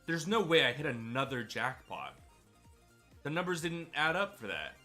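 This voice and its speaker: A man streaming a game, chatty and excitable, sounding a bit stuffy from a cold. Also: fairly high pitch, normal speed, faint music behind. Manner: chatty, excitable over wins. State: stuffy, slightly sick. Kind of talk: gameplay commentary.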